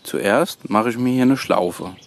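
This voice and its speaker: A man giving a hands-on how-to demonstration outdoors, in halting, hesitant phrases. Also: mid-range voice. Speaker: host of a bushcraft tutorial channel. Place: outdoors on a woodland floor, birdsong behind.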